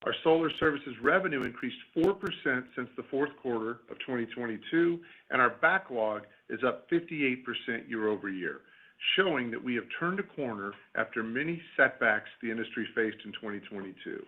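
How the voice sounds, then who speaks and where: A man, a company executive, reading a scripted earnings call presentation in a formal, measured corporate way. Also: mid-range voice, expressive. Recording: tinny narrowband phone conference line.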